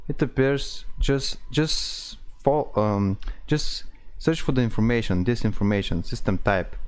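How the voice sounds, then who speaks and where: A man hosting an informal software tutorial, explaining step by step in non-native English phrasing. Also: medium pitch. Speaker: tutorial host.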